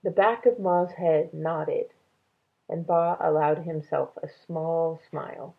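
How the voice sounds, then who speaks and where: A woman's voice: sits low, steady tone, slow, vocal fry. A woman reading a children's novel aloud as a storyteller. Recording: band-limited, tinny sound.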